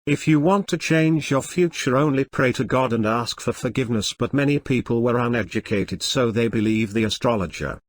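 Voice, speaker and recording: A man's voice: mid-range voice, normal speed, few pauses. A man narrating a scripted moral story for a cartoon. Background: faint music.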